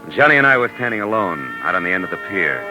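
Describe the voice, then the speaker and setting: An actor playing a tough, wry private detective in a 1940s radio drama, clipped and commanding. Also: male voice, low voice, very animated, fast talker, poor audio. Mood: grim narrating. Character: hard-boiled, tough male private detective, brisk and wry. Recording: 1940s radio recording with music behind.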